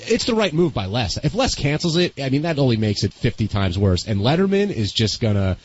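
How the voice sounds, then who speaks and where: A man's voice: medium pitch, very animated, a little fast, darkish tone. A man hosting a casual radio call-in show, heard on a muffled broadcast recording with some noise. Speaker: radio show host taking calls.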